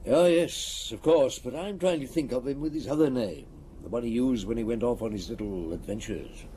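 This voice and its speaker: An actor playing a shrewd, unhurried chief inspector in an audio drama, measured, probing and dryly courteous. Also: male voice, medium pitch, warm tone, a little hiss or hum, lightly acted.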